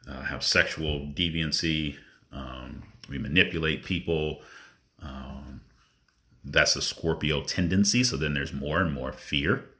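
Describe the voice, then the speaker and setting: Very deep-voiced man, earnest spiritual monologue. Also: bright, forceful tone. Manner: earnest, reflective, with drawn-out pauses. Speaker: spiritual vlogger.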